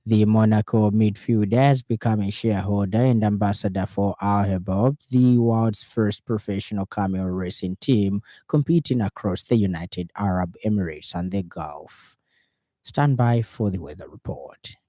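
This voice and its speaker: Zambian man, radio news anchor: lowish pitch, heavily mellow tone, scripted bulletin delivery. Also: normal speed, no room sound. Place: radio studio.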